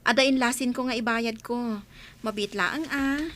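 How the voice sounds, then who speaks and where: A woman's voice: on the high side, steady tone, normal speed, background noise, close to an everyday voice. A woman playing a grateful, earnest village shopkeeper in an Ilocano radio comedy drama, polite and deferential, with an Ilocano accent.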